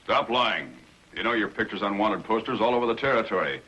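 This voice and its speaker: A man's voice: lowish voice, expressive, brisk, sharp tone, slightly rough, lo-fi sound, exaggerated voice. A man playing a stern, righteous Mountie sergeant in a 1950s television adventure, commanding and formal. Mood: stern, accusing.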